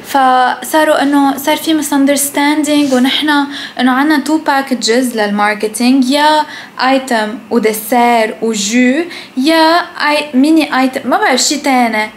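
High-voiced young Lebanese woman, chatting casually. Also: moderate pace. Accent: Lebanese Arabic. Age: young adult. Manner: mixes English phrases into Arabic; blunt, swears freely. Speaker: food vlogger. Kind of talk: casual chat to camera. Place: indoors at home, kitchen and living room. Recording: clear background noise.

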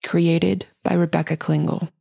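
Very creaky, low-pitched woman announcer. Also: little pitch movement, unhurried, dark tone. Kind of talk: scripted credits announcement.

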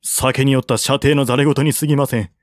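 A man voicing a shrewd, calm military strategist of ancient China in an audiobook, formal and reasoned. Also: mid-range voice, quick pace, dry recording, studio quality, lightly acted. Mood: courteous.

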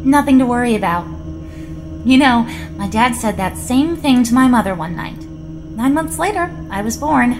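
An actress playing a skeptical, sarcastic spaceship crew member in an audio drama, wry and grumbling. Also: female voice, fairly high pitch, animated, normal speed, music in the background, natural voice, rough recording.

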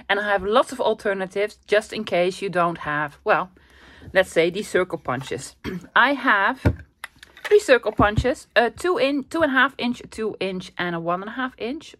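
A woman's voice: medium pitch, fairly bright tone, no room sound. A woman from the Netherlands with a Dutch accent, hosting a casual crafting tutorial in a chatty, self-deprecating way, slightly under the weather with a cold. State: coming down with a cold, throat clearing. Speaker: crafting channel host.

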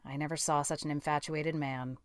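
A woman's voice: low voice, steady tone, fairly quick, clear, resonant tone, no room sound, clean studio sound, close to an everyday voice. A woman voicing a stern, practical older spinster guardian, brusque and scolding, in an audiobook. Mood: exasperated. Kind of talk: audiobook character acting.

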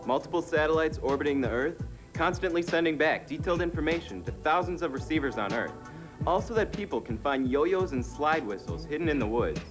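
A man's voice: on the high side, lively intonation, a little fast, music in the background. A teenage American man presenting a youth TV show from a script.

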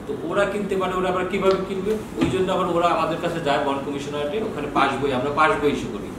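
A man's voice: a little high, steady tone, very fast. A Bangladeshi man in his sixties explaining procedures in an informal lecture, often posing questions and answering them himself. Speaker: customs bond official giving training. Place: small office with bookshelves, indoors. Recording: very echoey, distant mic, noisy room.

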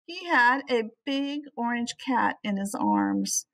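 A middle-aged woman reading a children's storybook aloud expressively, with measured, deliberate phrasing, as a teacher would. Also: fairly high pitch, lively intonation, gravelly, fairly bright tone.

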